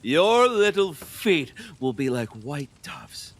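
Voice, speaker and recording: A man's voice: fairly high pitch, very animated, slow pace, heavily acted. A male actor playing a decadent, superstitious middle-aged king in an audio drama, grandiose and volatile, moving between murmurs and cries. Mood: delighted, then anxious. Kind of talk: theatrical audio drama acting.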